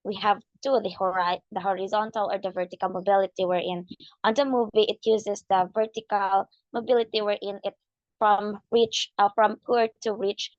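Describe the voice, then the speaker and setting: A young woman with a hoarse, husky voice giving a class presentation, explaining as she goes and leaning on "so" and "like". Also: mid-range voice, fairly even intonation, normal speed, no room sound. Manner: explanatory, leans on "so" and "like". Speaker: student presenter. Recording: dull lo-fi mic.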